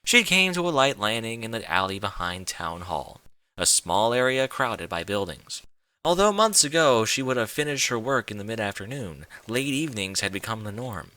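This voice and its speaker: A man giving an expressive scripted narration of a story, as in an audiobook. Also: fairly low pitch.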